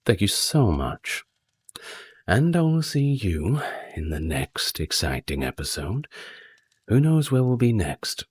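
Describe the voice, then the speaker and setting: A man speaking softly and breathily as the host of an ASMR channel, talking casually to his listeners. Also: fairly low pitch, very animated, takes it slowly, breathy edge, no room sound.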